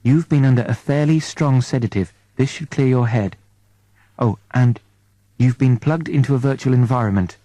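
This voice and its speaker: A man's voice: medium pitch, normal speed, mellow, no room sound, natural voice, rough recording. A man voicing a concerned female nymph medic in an audiobook, breaking bad news in a careful, hesitant, matter-of-fact way. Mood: gently evasive.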